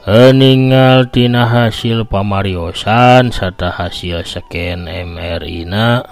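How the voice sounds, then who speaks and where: Storybook hospital doctor, played by a male narrator: Sundanese, deep, courteous, grave, explains formally with small hesitations.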